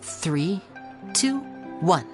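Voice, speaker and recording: A showy young male magician from an anime game, low for a female voice and very lively in melody. He is smooth-talking, playful and composed, with music underneath. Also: extremely slow, exaggerated voice. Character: suave teenage male stage magician, showy, teasing, composed. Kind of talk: anime video game voice acting. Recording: music playing behind.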